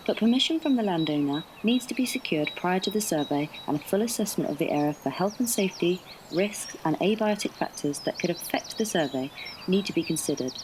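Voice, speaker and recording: A woman's voice: low-pitched, background noise. A young woman reading a scripted instructional narration for a training film. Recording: voice-over under loud guitar music. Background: plucked guitar music.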